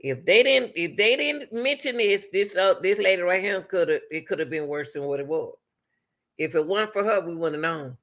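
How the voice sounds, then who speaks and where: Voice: medium pitch, normal speed, a bit bright. A man giving impassioned, repetitive, exhorting reaction commentary as the host of an online show.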